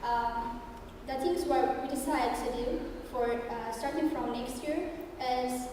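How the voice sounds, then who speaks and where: A young woman from Ethiopia giving a prepared speech at a public event, halting, with many fillers and restarts. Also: high voice, slight background noise. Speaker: student speaker. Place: large event hall. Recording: echoey hall recorded from far off.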